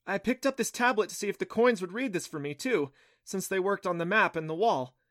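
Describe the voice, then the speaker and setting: An excitable young girl in an audiobook adventure, voiced by a man. The voice is pushed up high, breathy and eager, and turns exasperated and defensive easily. The sound is close and dry. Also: brisk, no echo. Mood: startled, breathless. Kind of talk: audiobook character voice.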